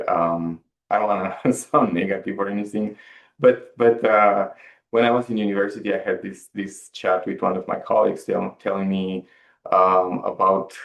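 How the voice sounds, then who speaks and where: A man in his forties from Romania with a Romanian accent, answering interview questions in halting English and repeating words as he searches for them. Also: sits low, low-quality recording. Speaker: guest.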